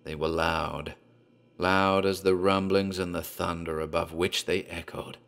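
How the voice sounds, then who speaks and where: A man narrating a horror story in a dark, deliberate, theatrical way. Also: sits low, little pitch movement, gravelly.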